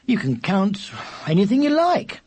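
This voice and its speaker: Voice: mid-range voice, wildly swooping pitch, unhurried, darkish tone, slightly rough, pitched above the performer's own voice, exaggerated voice. A narrator voicing a bossy, tired older brother, a boy who explains things with impatient effort in a children's story. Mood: irritated, tired. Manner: sighing, impatient, laboured explaining.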